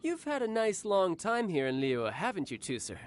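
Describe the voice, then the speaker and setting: Brief: a cocky, easygoing young warrior, teasing and smooth, with a lively, lilting read. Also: male voice, high voice, normal speed, slight background noise. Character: cocky young male warrior, doting older brother, playful. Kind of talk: game cutscene voice acting.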